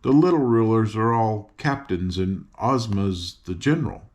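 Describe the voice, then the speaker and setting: A man's voice: fairly low pitch, unhurried, darkish tone, natural voice. An older man voicing a cheerful young farm girl who patiently explains things as she guides her friends. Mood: chatty.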